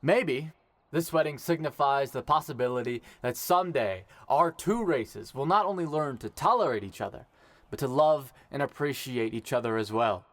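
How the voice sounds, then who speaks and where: A man playing a dignified, sincere young emperor in an audio drama, speaking in a measured, formal way. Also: medium pitch, expressive, no room sound, natural voice. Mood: solemn, sincere. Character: warm, dignified young emperor officiating a wedding.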